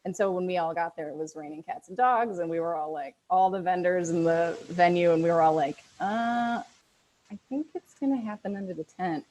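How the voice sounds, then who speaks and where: A woman's voice: lowish voice, fairly quick, darkish tone, low-quality recording. A woman telling an anecdote in casual chat, blunt and wry with a salty tongue. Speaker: wedding vendor.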